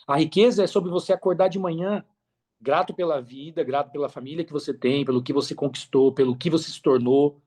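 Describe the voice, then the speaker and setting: Earnest Brazilian man, fairly high voice. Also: brisk, a little mellow. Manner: earnest, repetitive for emphasis, conversational. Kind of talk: casual motivational podcast talk.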